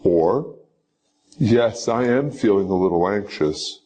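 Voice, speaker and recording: A man reading scripted sample answers for a citizenship interview in a slow, measured, instructive way. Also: lowish voice, expressive, warm tone, a little hiss or hum. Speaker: teacher.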